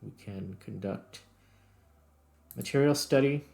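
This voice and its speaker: A man's voice: mid-range voice, slow, vocal fry, darkish tone. A man giving casual, unscripted gameplay commentary, muttering step by step as he thinks aloud. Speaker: host playing and narrating a video game.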